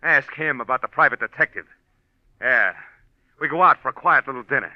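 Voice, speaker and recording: An actor playing an evasive American husband under suspicion in a 1940s radio drama, halting and indignant as he justifies himself. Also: medium pitch, no echo, lightly acted. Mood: defiant, indignant. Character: evasive, defensive husband suspected of murdering his wife. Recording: muffled old radio recording.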